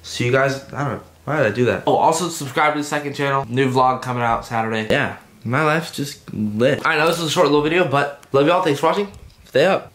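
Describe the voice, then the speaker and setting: A man in his twenties with a stuffy, congested voice, talking casually and jokingly to camera in a deadpan, goofy way. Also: mid-range voice, brisk. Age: 20s. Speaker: YouTube vlogger, custom artist. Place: indoors, room at home.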